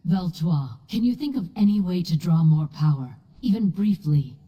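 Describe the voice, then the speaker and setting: An actress playing a solemn elven sorceress in a fantasy game, speaking in a measured, grave way. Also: female voice, on the low side, animated, husky, lo-fi sound. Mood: urgent. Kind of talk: scripted fantasy game dialogue.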